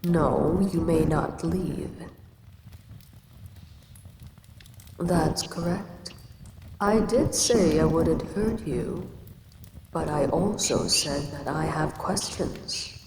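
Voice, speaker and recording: Cast: a woman as a calm, imposing kaiju queen, lordly and unhurried, lowish voice with long hall reverb. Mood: probing. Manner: slow, measured, lordly speech. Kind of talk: audio roleplay. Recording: echoey reverb with ambient noise.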